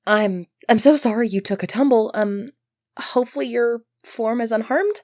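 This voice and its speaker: A woman's voice: a little high, animated, moderate pace, a little mellow, dry recording, clean studio sound. A woman playing an earnest, easily flustered medieval knight devoted to her prince, speaking politely and formally in an ASMR roleplay. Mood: flustered, alarmed. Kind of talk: ASMR roleplay acting, formal old-fashioned speech.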